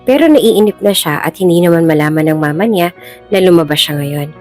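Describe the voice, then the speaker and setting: A woman's voice: lowish voice, lively intonation, a little fast, a little mellow. A woman with a Filipino accent reading a scripted dramatic story as a storyteller. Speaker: storyteller, channel host. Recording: music bed behind the voice.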